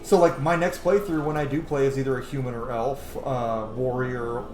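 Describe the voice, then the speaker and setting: A man's voice: medium pitch, fairly even intonation, normal speed, a little mellow, echoey room, a little hiss or hum, low-quality recording. A man giving casual, rambling, opinionated gameplay commentary as a streamer, swearing freely. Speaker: streamer playing a video game.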